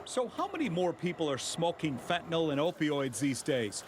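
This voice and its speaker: A man's voice: a little high, sing-song, normal speed, heavy background noise. An American man working as a TV news reporter, reading scripted narration.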